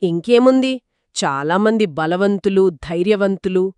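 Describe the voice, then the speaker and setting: A woman's voice: mid-range voice, unhurried, clear, resonant tone, dry recording. Woman telling children's stories, dry sound. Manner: expressive, addresses child listeners directly. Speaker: co-narrator of a children's folktale. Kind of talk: conversational two-person storytelling for children.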